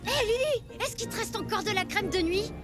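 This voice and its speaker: Excitable, very high-pitched little boy. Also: a little fast. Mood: alarmed. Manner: urgent, jittery, exclaiming. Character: anxious, excitable young cartoon boy with dinosaur friend. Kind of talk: animated series dubbing. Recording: music playing behind.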